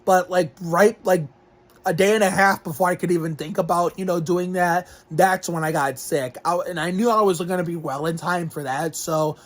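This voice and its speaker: A young man with a slightly higher-than-average voice rambles through an update about getting over a cold. He still has a lingering cough. He stumbles, restarts his sentences and leans on fillers. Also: normal speed. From Northeast Ohio. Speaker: vlogger. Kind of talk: rambling health update vlog.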